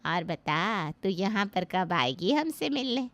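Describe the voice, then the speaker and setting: A woman's voice: medium pitch, big pitch swings, slow pace, a bit bright, exaggerated voice. A woman playing a loving mother who talks affectionately with her married daughter over the phone. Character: doting middle-aged mother, gentle. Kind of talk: Hindi family drama acting.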